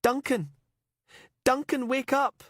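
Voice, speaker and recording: A man voicing a young woman held captive in the dark, pleading and sobbing. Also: extremely high pitch, unhurried, dry recording, clean studio sound, pitched above the performer's own voice. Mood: desperate.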